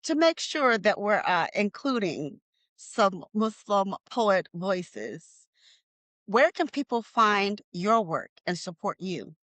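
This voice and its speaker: A woman's voice: medium pitch, sing-song, slow, fairly bright tone, no room sound. A woman interviewing a poet, asking questions in an encouraging way and laughing along with her guest. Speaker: interviewer, host.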